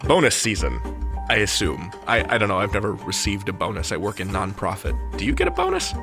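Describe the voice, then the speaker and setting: A man's voice: on the low side, huge pitch swings, brisk, gravelly. A man hosting with playful scripted narration, theatrical and tongue-in-cheek in delivery. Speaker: podcast host and narrator. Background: faint music.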